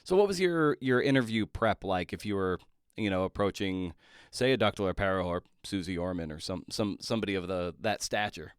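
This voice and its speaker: Male podcast interviewer, lowish smooth voice, dry sound, halting conversational questioning with repeated words. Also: expressive, no echo. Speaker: podcast host interviewing an author.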